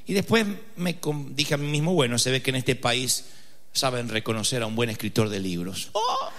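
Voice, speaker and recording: A man's voice: mid-range voice, moderate pace, a bit bright. A man with an Argentine accent preaching a sermon, telling a funny personal story with dramatic emphasis. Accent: Argentine Spanish. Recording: reverberant hall.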